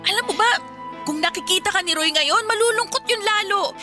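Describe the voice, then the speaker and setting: An actress playing a caring, exasperated sister in a Filipino radio drama, coaxing and scolding with a Filipino accent. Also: female voice, extremely high pitch, a little fast, music in the background. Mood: exasperated concern.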